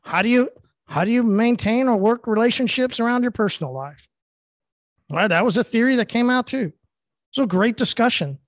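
A man's voice: very high voice, moderate pace, no echo. Earnest rambling middle-aged man, dry sound. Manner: earnest, rambling, repeats himself. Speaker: podcast co-host. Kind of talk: casual motivational talk.

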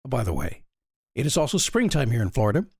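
A man hosting a motivational podcast, with a raspy voice, talking straight to the listener and asking them question after question. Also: medium pitch, wildly swooping pitch, fast talker, a little mellow, no echo, studio quality. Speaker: motivational podcast host and coach. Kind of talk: motivational monologue.

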